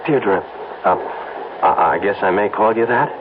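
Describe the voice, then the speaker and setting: An actor playing a flustered, stammering advertising executive in a 1970s radio drama, excitable and smitten. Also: male voice, fairly low pitch, measured pace, natural voice. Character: smitten, flustered advertising man, fast-talking. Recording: tinny lo-fi old radio broadcast with hiss.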